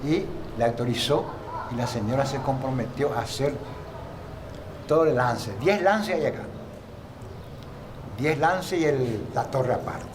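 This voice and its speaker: An older man from Paraguay, telling old stories in a rambling, halting way during a casual interview. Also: mid-range voice, background noise. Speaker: local guide and interviewee.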